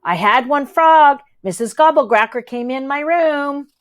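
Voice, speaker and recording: A woman voicing a nosy little boy who speaks slowly and plainly. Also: high-pitched, pitched above the performer's own voice. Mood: matter-of-fact. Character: odd, nosy little boy, blunt and slow-talking.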